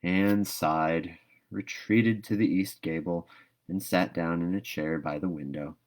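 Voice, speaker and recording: A man with a fairly low voice narrates a storybook. He reads aloud expressively, as a storyteller would. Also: steady tone, a little slow. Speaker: reader.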